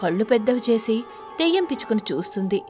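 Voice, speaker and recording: A woman's voice: on the high side, big pitch swings, fast talker, over music. A woman storyteller reading a scripted children's story narration.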